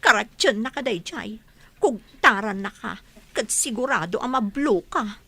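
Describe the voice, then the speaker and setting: A woman's voice: on the high side, expressive, measured pace, pushed and bright, slightly rough, full character voice. A woman with an Ilocano accent playing a coy young sweetheart in a radio comedy-drama. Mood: worried. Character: young sweetheart, coy and worried about her parents.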